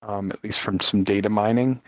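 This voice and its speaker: A man's voice: lowish voice, fairly even intonation, normal speed, background noise. A man co-hosting a gaming stream in casual, rambling chat, often restarting and joking about himself. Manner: rambling, self-deprecating, repeats words. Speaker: stream co-host.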